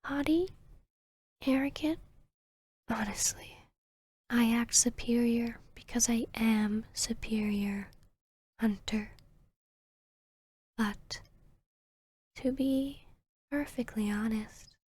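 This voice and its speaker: A woman voicing an arrogant, seductive vampiress hypnotist in a whispered ASMR roleplay, with a breathy, smug, teasing delivery. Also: on the high side, a little mellow, no echo. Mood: haughty, amused. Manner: slow, smug, teasing, deliberate.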